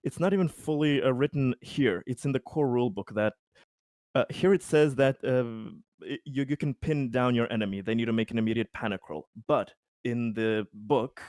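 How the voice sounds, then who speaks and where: A man playing a tabletop game, eagerly talking through his moves, quick and laughing at times. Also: mid-range voice, darkish tone, dry recording, clean studio sound. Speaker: player.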